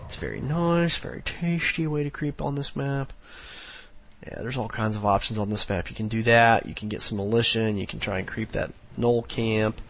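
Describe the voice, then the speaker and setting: A hoarse, sniffly man with a raspy voice, rambling through casual strategy commentary with lots of fillers. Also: lowish voice, moderate pace, lo-fi sound. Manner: rambling, many fillers, instructional. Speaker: game commentator.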